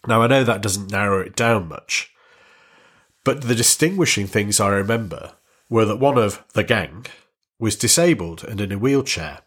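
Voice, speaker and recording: A man's voice: lowish voice, lively intonation, normal speed, a bit bright, husky. A middle-aged man from Warrington, hosting and interviewing a guest in a chatty, friendly way. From Warrington, North West England. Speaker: podcast host.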